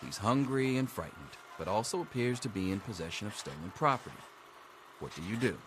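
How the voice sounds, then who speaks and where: An actor playing a dry, sarcastic schoolteacher running an exam in a video game. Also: male voice, on the low side, lively intonation, fairly quick, noisy background, close to an everyday voice. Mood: droll, reciting. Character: sardonic male vault schoolteacher giving an exam. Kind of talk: scripted video game character dialogue.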